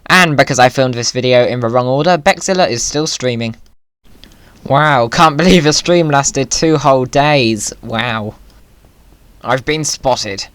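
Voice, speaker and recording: A man's voice: mid-range voice, unhurried, a bit bright, smooth, dry recording. Whimsical, rambling man commentating gameplay. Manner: whimsical, rambling, self-amused. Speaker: gaming video creator.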